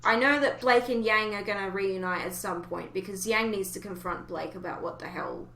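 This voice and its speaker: A woman's voice: medium pitch, normal speed, creaky, sharp tone. A woman chatting casually about fan theories, speaking in an opinionated, emphatic way. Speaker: podcast co-host. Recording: roomy, echoey voice recording.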